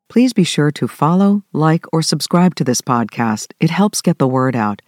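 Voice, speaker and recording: A man hosting a podcast, reading a measured, scripted introduction. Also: mid-range voice, a little mellow, no echo, studio quality. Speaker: podcast host.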